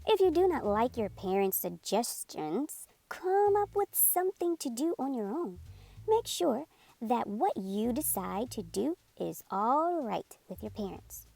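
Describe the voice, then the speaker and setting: A woman reading a children's picture book aloud in a clear, gently instructive way. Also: fairly high pitch, very animated, a little slow, dry recording. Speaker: storyteller.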